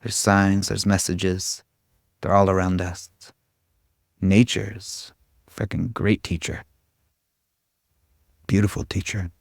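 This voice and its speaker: A man's voice: low voice, little pitch movement, slow pace, gravelly, pauses often, dry recording, clean studio sound. A man giving an informal spiritual talk to a group as a meditation teacher, rambling and irreverent, with casual, self-deprecating humor. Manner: irreverent, casual, frequent swearing.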